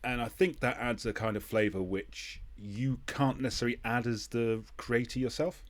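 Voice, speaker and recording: A man's voice: mid-range voice, fairly even intonation, normal speed. A man hosting a podcast in a casual conversational style, hesitant and agreeable as he talks. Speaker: podcast host. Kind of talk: casual interview chat.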